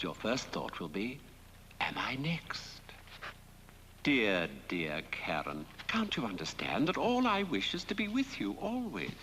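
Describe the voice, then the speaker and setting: A man's voice: on the low side, bright, forceful tone, exaggerated voice. An actor playing a taunting, mock-tender dead man leaving theatrical, menacing messages in a horror-comedy film. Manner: theatrical, silky, drawn-out mock endearments. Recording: long hollow reverb, faint noise.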